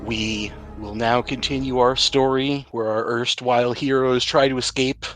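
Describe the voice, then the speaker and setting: A man's voice: medium pitch, steady tone, a little slow, a bit bright. A man hosting and narrating a tabletop roleplaying game, explaining things to players in an easygoing way. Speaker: podcast host and game master. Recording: music bed behind the voice. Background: background music.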